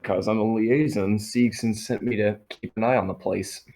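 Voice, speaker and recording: A man's voice: on the low side, steady tone, normal speed, darkish tone. A man casually improvising a character in an online roleplaying game, offhand and noncommittal. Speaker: tabletop roleplaying player.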